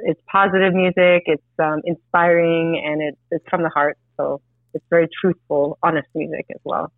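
A woman musician from Canada talks in a relaxed, easy way, full of fillers and asides. Her voice comes down a tinny, dry phone line. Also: deep voice, normal speed, no echo. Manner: relaxed, warm storytelling with fillers. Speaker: musician guest. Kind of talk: interview over a phone line.

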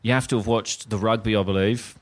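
A man with an Australian accent co-hosting a live comedy podcast in front of an audience, blunt and sweary with deadpan asides. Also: fairly low pitch, quick pace. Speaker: podcast co-host.

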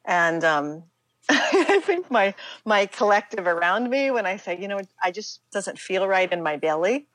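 A middle-aged American woman speaking reflectively as an interview guest, halting and emphatic, often acting out her own inner dialogue. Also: medium pitch, big pitch swings, a bit bright, dry recording. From United States, Pittsburgh, Pennsylvania.

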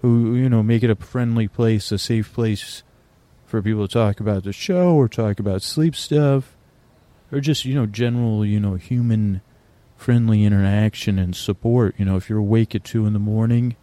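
A man hosting a sleep podcast, talking in a meandering, halting way full of restarts and fillers, recorded on location in a quieter corner of an airport. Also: fairly low pitch, fairly even intonation, no echo. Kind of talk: rambling bedtime podcast talk.

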